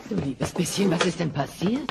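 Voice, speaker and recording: A woman's voice: fairly low pitch, lively intonation, moderate pace, creaky. Patient cheery dino mom, swingy motherly cartoon voice, really noisy recording. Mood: attentive. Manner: soothing, motherly. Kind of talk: German cartoon audio play acting. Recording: loud noisy background.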